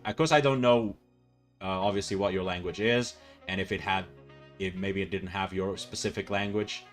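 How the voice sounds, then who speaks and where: A young man, chatting loosely with his live-stream viewers. He rambles, restarts his sentences and fills gaps with "uh" and "um". Faint music plays softly behind him. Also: on the low side. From Portugal. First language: Portuguese. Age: 20s. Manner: rambling and self-correcting, with frequent fillers and laughs. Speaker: livestreamer. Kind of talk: casual live-stream chat reading viewer comments.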